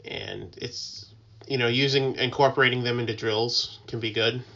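A man talking casually as a guest, explaining things informally and haltingly as he thinks aloud. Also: mid-range voice, steady tone, creaky, sharp tone, lo-fi sound. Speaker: tugboat mariner, podcast guest.

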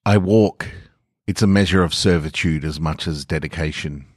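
A man's voice: extremely low pitch, normal speed, dry recording, clean studio sound. A man voicing a remorseful man seeking forgiveness, in an earnest, pleading first-person story reading.

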